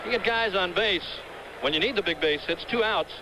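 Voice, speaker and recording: A man's voice: high voice, moderate pace. A man giving color commentary during a live baseball game, with folksy, conversational analysis of the players. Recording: noisy 1970s broadcast with crowd.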